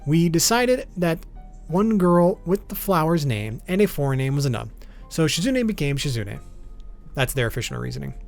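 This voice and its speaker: A man's voice: a little high, very animated, moderate pace, gravelly. A man narrating an explainer video as its host, in an informal, matter-of-fact way, with music behind him. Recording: background music under voice.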